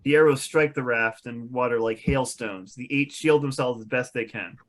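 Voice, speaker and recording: A man's voice: mid-range voice, normal speed, poor audio. A man narrating stage directions from a fantasy script at a table read.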